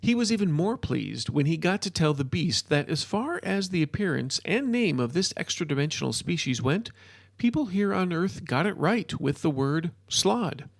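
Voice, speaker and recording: A man hosting a fiction podcast, reading his own scripted story with deliberate emphasis on key words. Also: on the high side, sing-song, vocal fry, no echo, clean studio sound.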